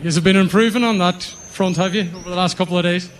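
A man hosting live stage interviews at a rally event, brisk and chatty. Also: sits high, fairly even intonation. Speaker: event host.

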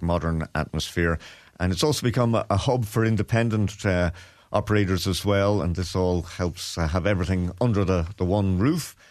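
A man presenting a radio business segment, reading event details in a steady, informative way with frequent pauses. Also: sits low, dry recording. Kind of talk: radio chat, reading news items. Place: radio studio.

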